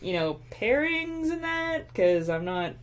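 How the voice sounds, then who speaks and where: A woman's voice: fairly high pitch, sing-song, slow. A young woman hosting an art stream, chatting casually with lots of fillers as she draws. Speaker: artist hosting a drawing stream. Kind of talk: casual chat while drawing.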